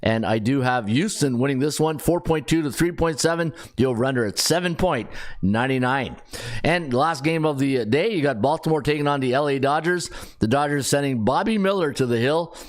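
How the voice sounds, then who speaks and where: A middle-aged man with a raspy, worn voice runs through the day's betting odds in a loose, chatty way. He sits at a mid-range pitch and keeps stopping to correct himself and fill gaps with "uh". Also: brisk. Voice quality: chatty, rambling with fillers and self-corrections. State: raspy, strained voice. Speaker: sports betting podcast host. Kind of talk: casual sports betting rundown.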